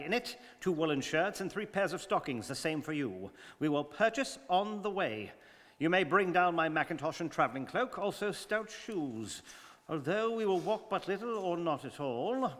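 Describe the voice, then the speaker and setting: A precise English gentleman adventurer, acted by a man in a radio play. His voice is high and forceful, clipped and formal, with total composure, carried on long room reverb. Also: animated, normal speed. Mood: brisk, commanding. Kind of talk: stage radio drama acting. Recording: reverberant studio hall.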